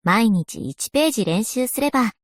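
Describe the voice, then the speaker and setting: An earnest young woman historian for a game. Her voice is high and lively in melody, recorded dry and close. She speaks with very formal, genteel courtesy. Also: normal speed, no echo. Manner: very formal, courteous, refined phrasing. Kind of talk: scripted game character voice lines.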